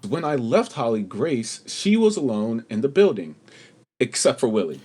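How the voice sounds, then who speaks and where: Man acting earnest, flustered young man, expressive pitch. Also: normal speed, husky. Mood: earnest, defensive. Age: young adult. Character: earnest, anxious young man, an actor defending his friend. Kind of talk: radio play acting.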